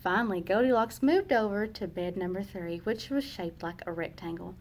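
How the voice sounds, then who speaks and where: A woman teacher reading a children's picture book aloud, slow and expressive for young listeners. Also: medium pitch, a little hiss or hum.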